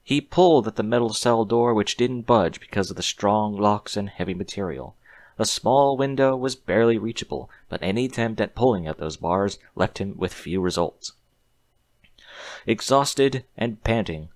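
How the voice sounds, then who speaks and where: A man's voice: fairly low pitch, no room sound. A man narrating a scripted story expressively on a close mic.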